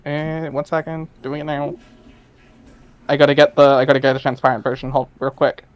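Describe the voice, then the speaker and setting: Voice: medium pitch, level tone, a little fast, dull audio. An informal, chatty speaker talking casually and narrating for an amateur dramatic reading.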